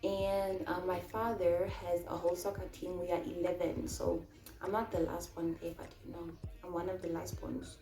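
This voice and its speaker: A woman's voice: fairly low pitch, level tone, normal speed, warm tone, reverberant, soft background music. A woman in her twenties from Namibia, answering viewer questions in a casual, chatty vlog and telling stories with many fillers. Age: 20s. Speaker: vlogger.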